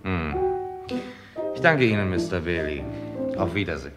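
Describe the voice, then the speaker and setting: A man playing a calm, insistent police inspector in a German radio crime drama, dry and clipped. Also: low-pitched, moderate pace. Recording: vintage radio play, music behind.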